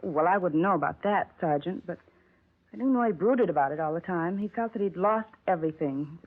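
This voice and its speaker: A woman's voice: lowish voice, expressive, a little fast. A chatty, gossipy landlady. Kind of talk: 1950s radio drama acting. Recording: tinny 1950s radio broadcast.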